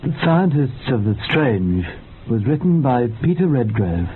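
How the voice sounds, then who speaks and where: A man's voice: mid-range voice, sing-song, measured pace. A man reading scripted credits formally as a radio announcer. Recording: dull lo-fi radio, faint noise.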